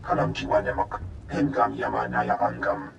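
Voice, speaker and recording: An actor playing a male alien in a video game, speaking an invented tongue with a guttural, gravelly voice. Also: mid-range voice, level tone, normal speed, reverberant, slight background noise. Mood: informative.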